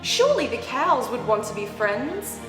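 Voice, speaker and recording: High-voiced woman reading a children's story, echoey. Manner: animated, exaggerated reactions with gasps and drawn-out words. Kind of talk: expressive picture-book read-aloud with asides to young children. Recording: roomy echoing hall sound, background music. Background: music.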